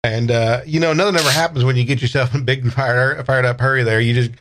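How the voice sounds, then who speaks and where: A man's voice: medium pitch, steady tone, fast, a bit bright, slight background noise, lightly acted. A man playing an easygoing Texan character with a folksy, rambling drawl in a tabletop roleplay. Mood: folksy, confident.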